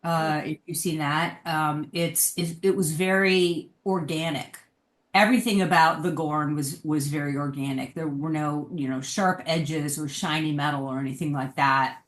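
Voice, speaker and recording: A woman talking casually in a panel discussion, giving measured, thoughtful opinions in a roomy, echoing sound. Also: deep voice, steady tone.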